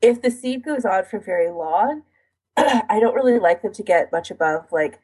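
A woman's voice: medium pitch, lively intonation, normal speed, darkish tone, breathy edge, low-quality recording. A woman co-hosting a casual podcast, chatting and explaining her routine in detail in an informal way. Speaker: podcast co-host.

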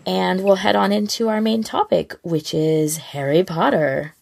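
A woman's voice: steady tone, measured pace, creaky, darkish tone. A woman co-hosting a casual fan podcast, chatting informally in an exclamatory, teasing way. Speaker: podcast co-host. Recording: faint rumbling background noise.

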